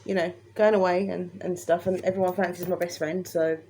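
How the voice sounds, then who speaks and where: A woman's voice: on the low side, little pitch movement, a little fast, mellow, slight background noise, poor audio. A woman co-hosting a casual chat, giggling and defending herself. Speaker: co-host.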